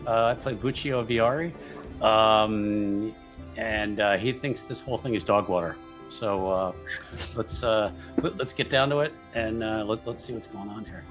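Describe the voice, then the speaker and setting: A man introducing himself casually as a tabletop game player, halting and informal. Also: lowish voice, fairly bright tone. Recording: clear music behind the voice.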